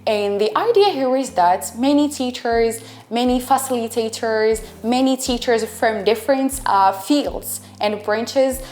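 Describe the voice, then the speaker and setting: A woman's voice: on the high side, a little slow, darkish tone, a little hiss or hum. A twenty-one-year-old woman from Algeria, speaking English as an Arabic speaker, answering interview questions hesitantly and often searching for words. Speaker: English student and teacher. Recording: echoey room with faint music.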